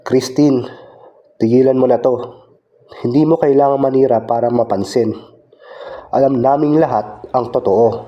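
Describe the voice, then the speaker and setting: A young man acting as an earnest, lovestruck high school boy in an amateur radio drama. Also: measured pace, pauses often, mellow, highs cut off, lightly acted. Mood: firm.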